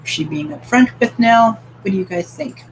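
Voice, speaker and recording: A woman's voice: a little high, lively intonation, normal speed, slight background noise, rough recording. A woman from Canada hosting a live-streamed book club, asking open questions and reading viewer comments aloud with light laughter.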